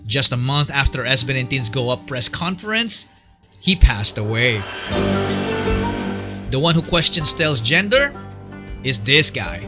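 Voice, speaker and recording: A man's voice: mid-range voice, sing-song, unhurried, long pauses, loud music behind the voice. A man narrating a fan-made documentary from a script, in a chatty way that asks the viewer questions.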